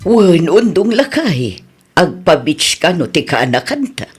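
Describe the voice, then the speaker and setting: Animated Ilocano auntie played by an actress. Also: female voice, lowish voice, measured pace, music in the background. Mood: casual, chatty. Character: middle-aged village auntie, chatty and nosy. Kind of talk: Filipino radio comedy drama acting.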